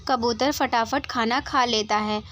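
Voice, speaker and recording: High-voiced woman narrating a children's story. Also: steady tone, measured pace, fairly bright tone.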